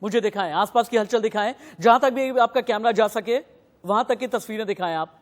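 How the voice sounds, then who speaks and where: A man's voice: sits high, fairly quick. Guy anchoring live news, pushy and emphatic, firing questions, long echoey reverb on it. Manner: insistent, emphatic, repeating instructions.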